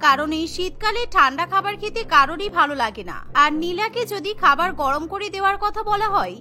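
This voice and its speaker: A woman's voice: very high voice, animated, brisk, bright, forceful tone. A woman giving scripted narration for a cartoon story. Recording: music behind the voice.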